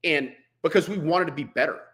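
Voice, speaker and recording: Bright-voiced, blunt man talking shop. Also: on the high side, fairly quick. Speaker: veteran firefighter, podcast guest. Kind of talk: conversational interview talk on firefighting.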